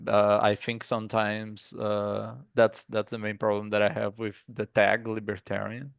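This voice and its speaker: A man's voice: on the low side, completely flat delivery, measured pace, creaky, smooth, dry recording, muffled recording. A man with a Brazilian accent, a guest in a casual interview, speaking thoughtfully with many fillers and restarts. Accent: Brazilian Portuguese. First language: Portuguese. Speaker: guest artist.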